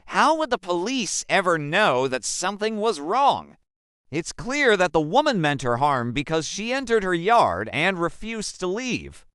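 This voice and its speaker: A man giving scripted, measured narration as a commentator. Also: high voice, fairly bright tone, smooth, dry recording, studio quality.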